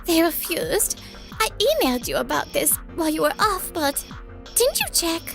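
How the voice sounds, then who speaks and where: Woman acting a very high-voiced young anime businesswoman. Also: big pitch swings, moderate pace, creaky, fairly bright tone, soft background music, exaggerated voice. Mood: urgent, worried. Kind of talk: scripted manga dub acting.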